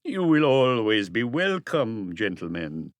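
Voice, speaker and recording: Guy doing a smooth guarded old-time crook gone respectable, audiobook dialogue, talks slow, dry recording. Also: lowish voice, sing-song, dry recording. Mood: smoothly polite. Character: guarded, suave male ex-crook turned businessman.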